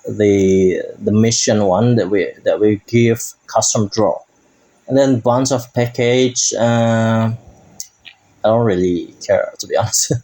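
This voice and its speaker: A man casually walking through a game update as a gaming YouTuber, talking in halting English and searching for his words. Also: lowish voice, warm tone, rough recording.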